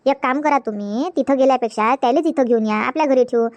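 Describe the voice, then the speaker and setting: A woman voicing a young married woman in a comedy cartoon, talking quickly and chattily with a Varhadi Marathi accent. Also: high voice, no room sound, close to an everyday voice. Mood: persuading. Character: young wife Megha, also playing Preeti on the phone.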